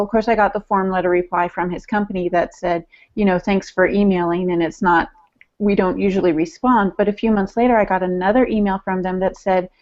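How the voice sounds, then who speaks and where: Mid-pitched woman on dull call audio. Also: a little mellow. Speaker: podcast co-host. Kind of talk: casual conversational storytelling. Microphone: online video call.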